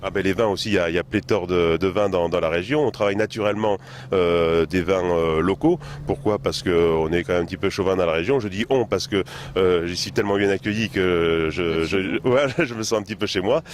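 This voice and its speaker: A French chef with a very deep voice answers questions, stopping often and repeating words as he goes. A faint hum of ambience sits behind him. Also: a little fast. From France. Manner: hesitant, many fillers and repeated words. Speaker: chef and bistro owner. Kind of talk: interview answers.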